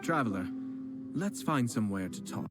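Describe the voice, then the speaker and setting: A man voicing a composed young male noble clan head who speaks in a measured, formal and polite way in a game. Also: mid-range voice, animated, natural voice. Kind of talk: video game voice acting. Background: game music.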